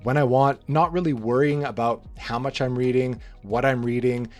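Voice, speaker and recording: A man hosting a book channel, rambling through a casual monologue, slightly hoarse from being sick. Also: medium pitch, moderate pace, faint music behind. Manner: relaxed, rambling, frequent restarts and fillers.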